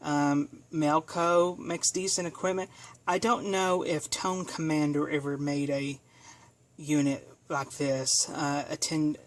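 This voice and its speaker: A speaker giving a casual hands-on equipment demonstration in a halting, self-correcting way. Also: mid-range voice, level tone. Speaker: video presenter demonstrating equipment.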